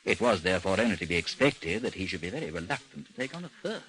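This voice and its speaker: A dry bureau chief from an old radio serial, fairly low, very proper and understated. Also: male voice, sing-song, fairly bright tone, husky, full character voice, rough recording. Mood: measured narrating. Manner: measured, formal, understated. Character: composed, dry British chief of a missing persons bureau, narrating his case. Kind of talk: old-time radio drama acting and narration.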